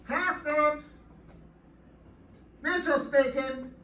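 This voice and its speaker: A woman playing a brisk, clipped male customs office clerk in old-time radio drama. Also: high-pitched, fairly bright tone, heavily acted. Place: live stage performance, mic at a distance. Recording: distant mic in echoey room.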